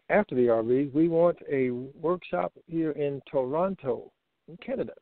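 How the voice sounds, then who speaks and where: A man's voice: medium pitch, dry recording. Man on a dry, dull conference line. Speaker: co-host reading out listener questions. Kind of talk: casual conference call moderation. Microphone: phone conference line.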